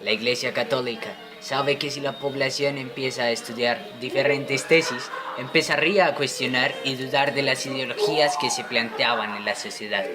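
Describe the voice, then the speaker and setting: A man's voice: medium pitch, fairly even intonation, normal speed, hardly any pauses, natural voice. A man playing a learned medieval teacher, explaining didactically from a script in an amateur educational radio play. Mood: didactic.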